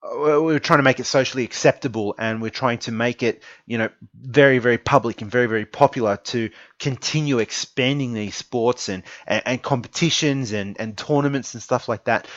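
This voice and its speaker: An Australian man in his late twenties talks through his opinions informally, with a mid-pitched voice and an earnest, emphatic delivery. He leans on repetition to drive points home. The sound is dry and slightly dull, like a modest home recording. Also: a little fast, hardly any pauses, fairly bright tone, no room sound. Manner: earnest, emphatic, repeats phrases for stress. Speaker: esports content creator giving an opinion piece.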